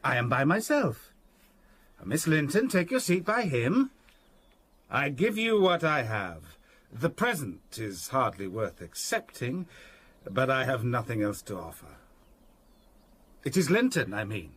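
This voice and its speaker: A man's voice: mid-range voice, sing-song, measured pace, long pauses, a bit bright, slightly rough, heavily acted. An actor playing a menacing, sardonic man in a period drama, shifting from mock courtesy to barked orders. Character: menacing, sardonic master of a moorland house, violent temper. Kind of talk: period radio drama acting.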